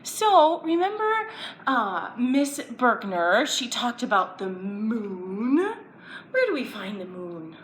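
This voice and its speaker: Female children's presenter, lively swooping pitch, playful question-and-answer teaching, echoey recording with some background noise. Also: slow pace. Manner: playful, asking young viewers simple questions and answering them. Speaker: children's story-time presenter. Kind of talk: children's educational story time, explaining and reading aloud. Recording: roomy echo, background noise.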